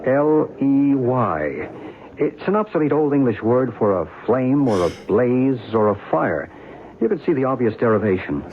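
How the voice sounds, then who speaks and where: Assured mystic guide, tinny radio. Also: male voice, medium pitch, sing-song. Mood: learned, assured. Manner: measured, assured, explanatory. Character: calm, mystical, knowledgeable male guide to ancient mysteries. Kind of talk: old-time radio drama acting. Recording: tinny, hissy band-limited radio recording.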